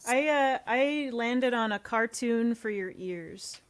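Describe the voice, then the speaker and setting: A woman's voice: a little high, slow pace, smooth. A woman talking casually as a guest on a podcast interview. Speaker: podcast guest, audio comedy co-creator. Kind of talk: casual chat.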